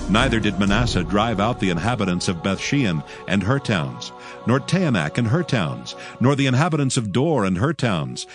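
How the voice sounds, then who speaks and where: Low, animated male scripture narrator.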